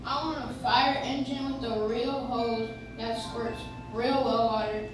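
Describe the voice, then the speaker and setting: A woman's voice: a little high, fairly even intonation, measured pace, background noise, close to an everyday voice. Woman doing an eager little boy, echoey recording. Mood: eager, pleading. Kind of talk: live stage radio play acting. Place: live stage in a coffee house. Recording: echoey, distant recording with music behind.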